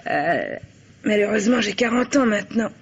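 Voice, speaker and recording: A woman's voice: mid-range voice, expressive, normal speed, gravelly, slightly rough, exaggerated voice. An actress playing a frank, world-weary woman in her forties who runs a café, in an old French radio drama. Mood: wistful, confiding. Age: 40s. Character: world-weary forty-year-old café owner, former gangster's companion. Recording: muffled old radio recording, background hiss.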